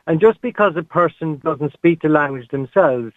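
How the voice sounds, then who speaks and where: A man debating as a radio guest, measured and making his points in order. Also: a little high, lively intonation, no echo. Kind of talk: debating on air. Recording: dry phone line.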